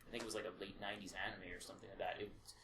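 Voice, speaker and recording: A man's voice: lowish voice, little pitch movement, racing, sharp tone. A man co-hosting a casual podcast, giving emphatic, sweary opinions. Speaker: podcast co-host. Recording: roomy echo, faint background noise.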